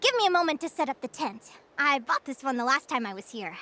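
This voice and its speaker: A woman's voice: high-pitched, big pitch swings, quick pace, background noise. A woman voicing a cheerful, playful young woman adventurer in a video game cutscene, teasing and encouraging. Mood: upbeat. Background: game music.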